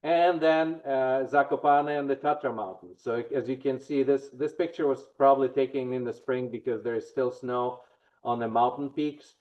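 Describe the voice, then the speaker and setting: A man's voice: medium pitch, steady tone, normal speed, muffled recording. A man from Poland with a Polish accent, giving a town hall presentation with frequent restarts and fillers.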